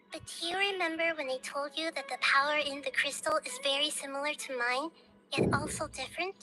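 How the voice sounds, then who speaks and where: High-voiced, earnest girlish deity. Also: sharp tone, rough recording. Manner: thoughtful, measured explaining. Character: small gentle, earnest nature deity in girlish form. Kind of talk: anime game dialogue acting. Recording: game music behind.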